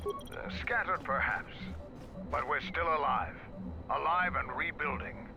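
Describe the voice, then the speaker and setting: An actor playing a proud, stern warrior clan leader in a video game, speaking with measured authority. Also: male voice, a little high, highs cut off. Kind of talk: video game character dialogue. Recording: loud music under game dialogue.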